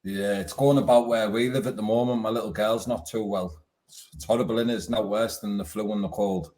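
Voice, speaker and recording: A man's voice: on the low side, fairly even intonation, brisk, a little mellow. A man hosting a casual interview, friendly and informal. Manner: friendly, chatty, informal slang. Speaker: podcast host.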